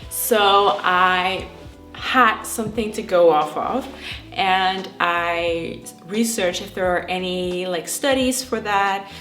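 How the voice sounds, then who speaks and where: A woman's voice: lowish voice, takes it slowly, fairly bright tone. A young woman from the Netherlands with a Dutch accent, chatting casually to camera and filling her sentences with "like" and "um.". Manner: chatty, frequent fillers like "like" and "um". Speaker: trichologist and YouTuber. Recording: echoey room with faint music.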